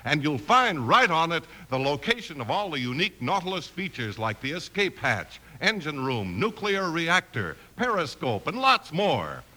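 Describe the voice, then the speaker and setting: Bright, animated 1950s radio announcer man. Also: on the high side, moderate pace, few pauses. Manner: salesman-like, emphatic. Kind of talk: 1950s radio advertising and narration.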